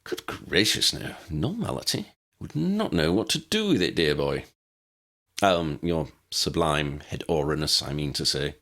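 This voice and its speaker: A man acts out an eccentric old gentleman in an audiobook, low-pitched and pompous. He speaks in ornate, courteous sentences with wide, mischievous swings of intonation. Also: big pitch swings, unhurried, full character voice. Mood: mock earnest. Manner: formal, ornate, pompous diction.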